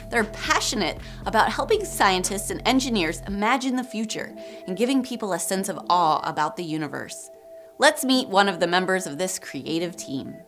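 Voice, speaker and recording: Lively upbeat woman narrator. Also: mid-range voice. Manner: upbeat and enthusiastic. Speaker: narrator host. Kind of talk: scripted educational narration. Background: background music.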